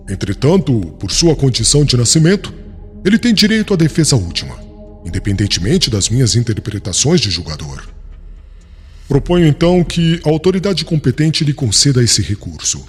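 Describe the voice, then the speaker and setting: A man's voice: medium pitch, natural voice. A man playing a proud, angry young doctor of the law, stern and pompous, in a dramatised audiobook. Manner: stern, pompous, formal courtroom speech. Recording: long hall reverb, music underneath.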